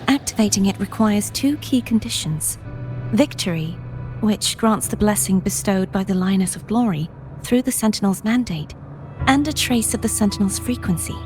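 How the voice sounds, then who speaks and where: A solemn, mystical warrior woman of a fantasy video game, acted by a woman, speaks with grave courtesy. Her mid-pitched voice is formal and measured, each sentence carefully laid out. Prominent music plays behind her. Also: animated, a little mellow, lightly acted. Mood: grave, composed. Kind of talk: scripted game dialogue.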